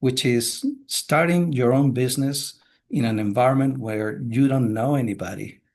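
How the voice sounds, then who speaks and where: A middle-aged man from Chile, a guest on a business podcast, talks through his working life at an even, measured pace. He often pauses on "uh" as he gathers his words, and his voice stays at an ordinary mid pitch. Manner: measured, frequent "uh" fillers. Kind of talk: casual podcast interview.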